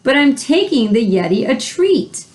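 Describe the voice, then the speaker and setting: A woman voicing an eager, polite, excitable young boy adventurer in a storybook. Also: sits high, unhurried. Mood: apologetic. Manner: excited, exclamatory.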